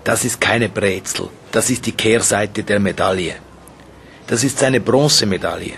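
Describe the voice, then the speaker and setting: A man reading in an absurdist radio play in a measured, matter-of-fact way. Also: on the low side, creaky, a bit bright, a little hiss or hum.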